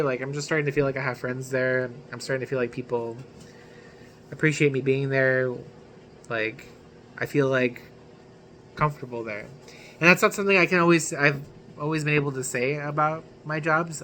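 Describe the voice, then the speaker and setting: A man talks to his viewers as a vlog host, in a bright, forward voice. His delivery is casual and conversational, sprinkled with slang. Faint background noise sits behind his words. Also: mid-range voice. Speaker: YouTube channel host.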